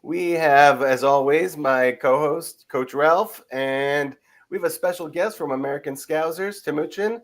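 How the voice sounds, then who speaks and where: A man's voice: on the high side, unhurried. A man hosting a sports podcast in a casual, conversational style. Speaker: podcast host.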